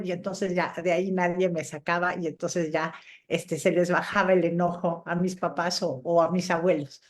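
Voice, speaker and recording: Middle aged lady from chile and mexico, kinda low voice, chatting away telling little stories in an interview. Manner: conversational, anecdotal storytelling. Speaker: interviewed scientist guest. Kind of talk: casual reminiscing interview answers.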